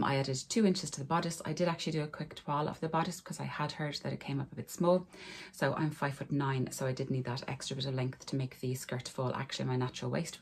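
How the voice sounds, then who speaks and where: A woman, hoarse from a cold, chatting casually to camera about her sewing in a small room. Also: a bass voice, darkish tone, slight background noise. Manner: friendly, rambling, talks to camera while showing garments. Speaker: sewing vlogger. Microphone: camera in front of her at desk.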